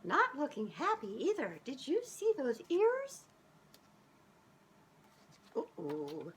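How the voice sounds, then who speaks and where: A middle-aged woman reading a children's storybook aloud in an expressive, sing-song way, as if speaking directly to young listeners. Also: high-pitched, takes it slowly, slightly rough. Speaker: children's author reading her own book aloud. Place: small living room, on a couch indoors.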